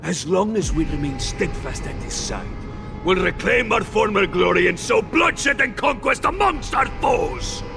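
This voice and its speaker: An actor playing a fierce, contemptuous loyalist warrior in a video game, gravelly and declamatory. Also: male voice, high-pitched, lively intonation, a little slow, over music, full character voice. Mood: zealous, rousing. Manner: declamatory, scornful.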